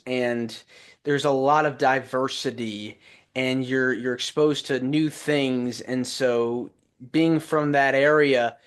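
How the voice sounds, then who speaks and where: A man hosting a podcast, warmly upbeat and enthusiastic as he introduces and questions his guest. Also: mid-range voice. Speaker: podcast host.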